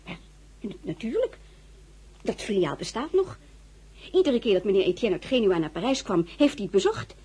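A woman's voice: mid-range voice, very animated, moderate pace, long pauses, mellow, slightly rough. A woman playing an outspoken, motherly maidservant in a radio drama, fussing and scolding in a blunt, familiar way. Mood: insistent. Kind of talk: radio drama acting in Dutch.

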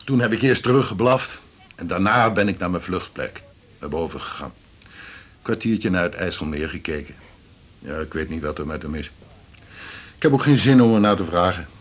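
Lively low-voiced hospital colleague. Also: male voice, moderate pace, long pauses. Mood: casual, unruffled. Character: plain-spoken male hospital colleague, unruffled. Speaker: actor in a radio drama. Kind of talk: radio drama acting.

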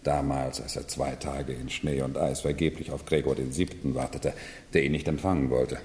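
An actor in a 1970s German radio play playing an officious retainer, eager and obliging to guests and bossy with underlings. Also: male voice, extremely low pitch, fairly even intonation, normal speed, a little mellow. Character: officious male retainer staging a madman's imperial court. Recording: echoey hall, hissy old radio recording.

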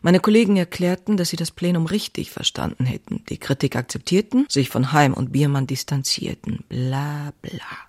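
A woman's voice: sits low, moderate pace, hardly any pauses, darkish tone. An actress playing an outspoken, passionate woman writer who confides in her diary in an intimate, wry way. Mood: defiant, wry. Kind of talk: dramatized diary reading, scripted narration.

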